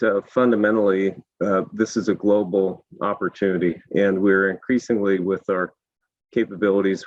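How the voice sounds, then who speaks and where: A slightly hoarse man speaking as a panelist in a discussion, measured and full of fillers. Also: fairly low pitch, no room sound, highs cut off.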